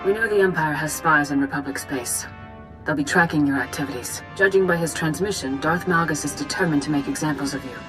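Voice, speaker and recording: An actress playing an authoritative Jedi Master commander in a video game, calm, formal and measured. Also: female voice, deep voice, over music, natural voice. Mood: calm, caring.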